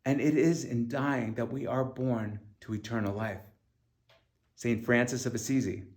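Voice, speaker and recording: A man's voice: mid-range voice, steady tone, a little slow, a little mellow. A middle-aged man reading his own memoir as an author, in an earnest, reflective way. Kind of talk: scripted first-person memoir narration.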